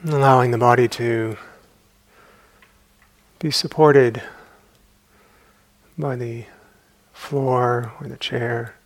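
A man guiding a meditation as a teacher, speaking calmly and unhurriedly in short phrases with long pauses. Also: mid-range voice, no room sound. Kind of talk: guided meditation.

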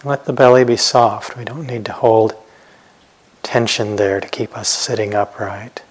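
A man guiding a meditation as a teacher, speaking gently in short, unhurried phrases with long pauses. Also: lowish voice, steady tone, slightly rough. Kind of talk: guided meditation instruction.

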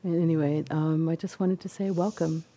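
Woman assistant teacher, very low and gravelly, dark tone, checks she is heard. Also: steady tone, fairly quick. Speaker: teacher trainee assisting a retreat.

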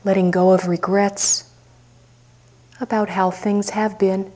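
A woman teacher leading a guided meditation, speaking softly and unhurriedly with long pauses. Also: medium pitch, flat delivery.

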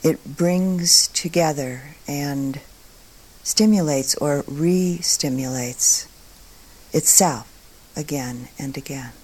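A woman teaching meditation, speaking calmly and deliberately in short phrases with long pauses between them. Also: deep voice, breathy edge, poor audio. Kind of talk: guided meditation talk.

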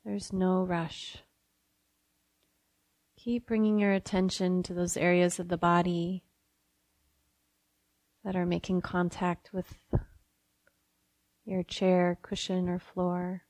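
Female meditation guide: gentle, unhurried, flat-pitched delivery in a dry, close sound. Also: dry recording, low-quality recording. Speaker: meditation teacher.

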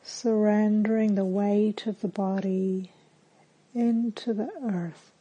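Female meditation guide, medium pitch, deeply relaxed mellow tone, calm and soothing, slow delivery. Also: husky, highs cut off. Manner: calm, gentle, soothing, with long pauses. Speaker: meditation teacher.

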